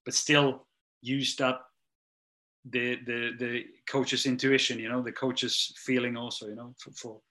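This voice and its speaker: A man's voice: medium pitch, flat delivery, a little slow, bright, forceful tone. A middle-aged man who speaks English as a second language, answering interview questions with many restarts and fillers, his voice trailing off at the ends of sentences. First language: not English. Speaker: strength and conditioning coach.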